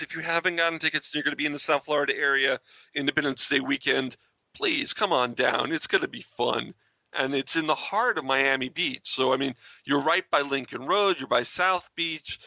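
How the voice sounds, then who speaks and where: A man chats casually as a podcast host running through event plugs. His voice sits a little high and is very bright and forceful, with noticeable creak. The sound is dry and close. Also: dry recording, poor audio. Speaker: podcast host and convention merchandise seller.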